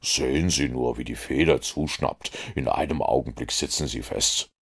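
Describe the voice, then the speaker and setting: A man voicing a calm, gravelly low detective. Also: normal speed, heavily acted. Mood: calm, assured. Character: cool, precise adult male detective.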